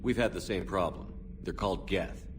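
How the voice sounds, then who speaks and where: An actor playing a starship commander in a video game cutscene, asking blunt, dry questions. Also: male voice, deep voice, normal speed, far from the mic, background noise, natural voice.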